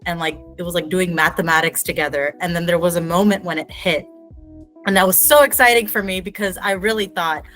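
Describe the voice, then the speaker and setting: Lower-voiced woman telling stories animatedly. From Houston, Texas. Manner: animated storytelling, chatty. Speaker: dancer guest. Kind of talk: casual conversation.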